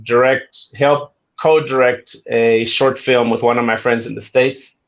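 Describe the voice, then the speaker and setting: Brief: friendly Zambian man in his forties, easygoing storyteller, mid-pitched voice, dull lo-fi sound. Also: measured pace. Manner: relaxed, friendly storytelling with asides. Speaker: guest. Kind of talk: casual interview chat.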